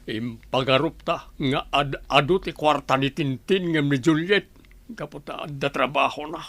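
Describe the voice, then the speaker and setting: A man's voice: fairly high pitch, moderate pace, fairly bright tone. A man with an Ilocano accent playing a plain-spoken village family man in a radio drama. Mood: grumbling.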